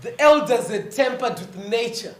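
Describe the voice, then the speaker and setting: A woman narrating a scripted fable theatrically as a stage storyteller, deliberate and dramatic, with repetition and long pauses. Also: on the high side, expressive, recorded from a distance, a little hiss or hum. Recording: echoey stage recording from afar, music behind.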